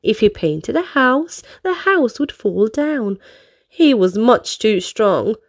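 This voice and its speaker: A high-voiced woman reads a storybook aloud to young children. She takes her time, with big melodic swings and direct questions and exclamations for the listeners. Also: big pitch swings, slow. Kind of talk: expressive read-aloud narration for children.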